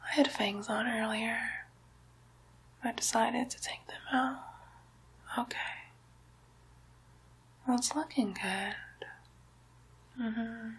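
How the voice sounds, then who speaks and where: A woman voicing a playful, sly female demon doctor in an ASMR medical roleplay, whispering softly in a slow, teasing, lightly menacing way. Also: on the high side, fairly even intonation, heavily acted, rough recording. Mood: coy, chatty. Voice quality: soft breathy whisper.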